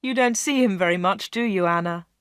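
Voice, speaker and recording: A woman's voice: mid-range voice, a little fast, a bit bright, smooth, no room sound, clean studio sound, natural voice. Woman playing a polite seventeen-year-old girl. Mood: plain.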